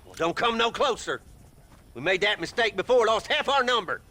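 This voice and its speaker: A man's voice: high voice, lively intonation, moderate pace, sharp tone. A man playing a jumpy, self-important survivor in a comic game cutscene. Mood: suspicious, nervous. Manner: suspicious, blustering.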